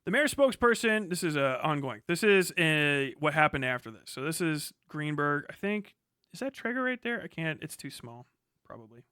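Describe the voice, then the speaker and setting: A man's voice: fairly high pitch, very animated, normal speed, pushed and bright, clean tone, no room sound, clean studio sound. A man livestreaming casual, opinionated commentary on local news, speaking in a blunt, profane and emphatic manner.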